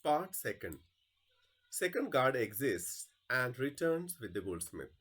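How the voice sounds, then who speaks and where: A man's voice: mid-range voice, sing-song, slow, a bit bright, slightly rough. A man reading a play script aloud as a teacher, narrating the stage directions.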